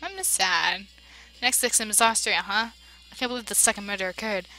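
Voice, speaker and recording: A woman playing a game, reading its dialogue aloud and reacting with big emotional swings between loud outbursts and hushed narration. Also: medium pitch, normal speed, soft background music, poor audio. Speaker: let's play voice-over player.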